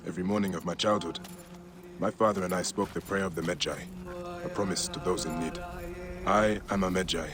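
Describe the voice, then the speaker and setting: A man's voice: low-pitched, steady tone, a little fast, lightly acted. A man playing a grave, grieving warrior father sworn as an assassin, speaking solemnly and intensely in a video game cutscene. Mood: solemn, proud. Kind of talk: dramatic game cutscene acting. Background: music.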